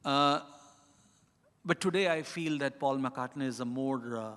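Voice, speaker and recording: A middle-aged man with an Indian accent, an author giving reflective, deliberate, halting answers with emphasis on key words. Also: medium pitch, a bit bright, clear, resonant tone. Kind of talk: reflective spoken answer at a live literary event. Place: large indoor event hall. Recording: echoey hall with long reverb.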